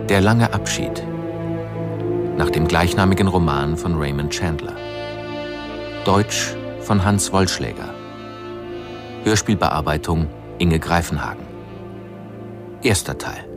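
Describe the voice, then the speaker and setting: A man reading a formal scripted announcement of radio play credits in a measured, matter-of-fact way. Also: low voice. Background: music under the announcement.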